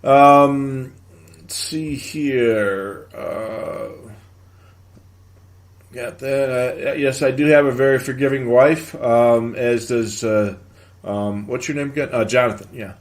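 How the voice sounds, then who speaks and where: A man hosting a live show, giving practical tech advice and answering viewer questions in a halting, conversational, explanatory way. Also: mid-range voice.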